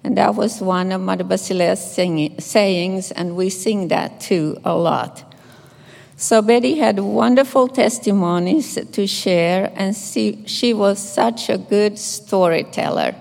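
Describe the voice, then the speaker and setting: An older woman from Finland with a Finnish accent, a nun reading scripture and giving a gentle, measured memorial tribute. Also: medium pitch, gravelly. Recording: echoey hall reverb.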